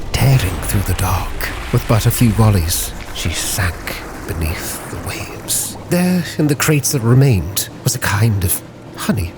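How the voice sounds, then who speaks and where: A man narrating a sea captain's log in a measured, literary, theatrical storytelling style. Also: lowish voice. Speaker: roleplay storyteller, channel host. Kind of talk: dramatic scripted narration. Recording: prominent background music. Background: music.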